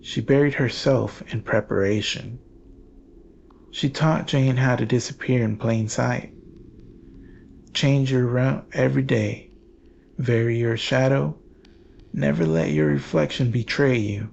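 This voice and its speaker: A man reading scripted horror narration in a grave, measured, ominous manner. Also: mid-range voice, gravelly, a little mellow, highs cut off, a little hiss or hum.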